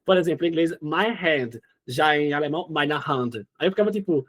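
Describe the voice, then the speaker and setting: Rapaz nordestino de uns vinte e poucos anos, voz aguda, conversa solta de entrevistado. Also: male voice, on the high side, lively intonation, fairly quick, a bit bright. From Northeast Brazil. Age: 20s. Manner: chatty, often says "né?" and "entendeu?". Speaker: interview guest. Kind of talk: casual interview chat.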